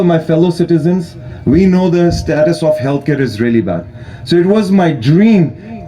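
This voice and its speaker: High-voiced man giving an earnest ceremony speech. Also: dark tone, rough recording. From Pakistan. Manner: earnest, thanking donors, calls for applause. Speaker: charity speaker at opening ceremony. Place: outdoor ceremony.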